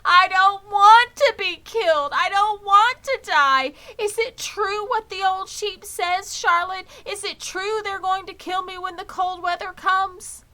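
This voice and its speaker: Woman acting a shrill, panicky little boy pig. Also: unhurried, hardly any pauses, bright, forceful tone, full character voice. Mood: panicked. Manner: wailing, pleading, melodramatic. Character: young male pig, naive, panicky and tearful. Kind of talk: children's storybook character reading.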